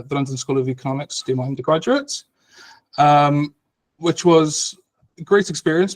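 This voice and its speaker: Male academic, thirties, mid pitch, chatty, hesitant and explanatory. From Cardiff, Wales. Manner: frequent ums, patient and explanatory. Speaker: guest academic, lecturer. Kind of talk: conversational explanation.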